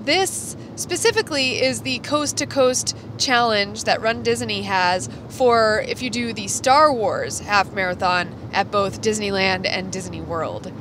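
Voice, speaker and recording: A woman's voice: mid-range voice, a little slow, few pauses. A woman co-hosting a podcast in casual, enthusiastic chat, recorded inside a car as she drives. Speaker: podcast co-host. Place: inside a moving car, while driving. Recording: clear car road noise behind voice. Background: vehicle and road noise.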